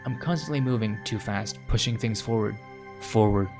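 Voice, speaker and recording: A man's voice: fairly low pitch, moderate pace, music in the background, natural voice. A man voicing a smitten, earnest male visual novel protagonist with florid, sentimental inner narration. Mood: yearning.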